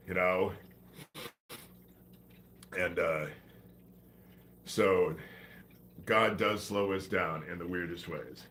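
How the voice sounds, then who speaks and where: Deep-voiced man with a stuffy head cold. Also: fairly bright tone, a little hiss or hum. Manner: loose, profane, meandering. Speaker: livestream host. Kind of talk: rambling casual livestream talk.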